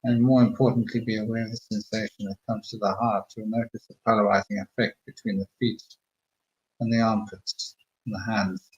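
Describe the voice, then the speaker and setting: A man slowly talking listeners through a guided meditation with calm, murmured instructions. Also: fairly low pitch, muffled recording. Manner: slow, soothing, murmured instructions.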